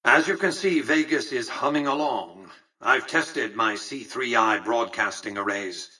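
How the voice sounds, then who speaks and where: An actor playing a cold, imperious old tycoon in a video game, speaking in formal, articulate, condescending phrases. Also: male voice, medium pitch, lively intonation, unhurried, bright, forceful tone, slightly rough, distant mic, heavily acted, rough recording. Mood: smugly satisfied. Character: cold, imperious old male tycoon ruling a casino city. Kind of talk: scripted video game character dialogue.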